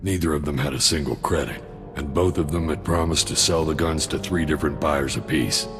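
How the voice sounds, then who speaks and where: A sardonic mercenary soldier with an extremely low, rumbling voice and plenty of gravel in it. He delivers his dry, greedy schemes in a laid-back game-cutscene style, with prominent music underneath. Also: fairly even intonation, brisk. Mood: wry, grumbling. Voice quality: very deep, gravelly. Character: cynical, greedy mercenary soldier, alien arms dealer with dry humour. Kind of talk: video game cutscene dialogue.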